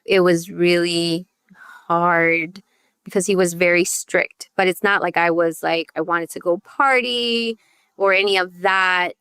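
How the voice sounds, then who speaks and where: A woman with a smooth, slightly low voice, recorded dry. She is a guest from El Salvador, raised partly in Virginia, sharing personal history candidly. Her phrasing is conversational and dotted with little check-ins. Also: fairly bright tone, dry recording. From born in El Salvador, raised partly in Northern Virginia. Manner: candid, conversational, peppered with "you know" and "right?". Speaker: podcast guest, youth advocate.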